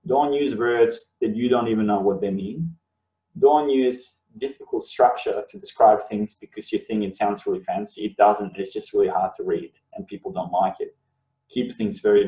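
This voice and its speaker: A Bosnian-native man in his thirties walks students through a webinar lesson, repeating key words to drive them home. His voice is mellow and low in brightness. He sits a little off the mic, and the room around him rings. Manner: explanatory, repeats words for emphasis. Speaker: university lecturer. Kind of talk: webinar lecture. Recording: roomy sound, mic at a distance.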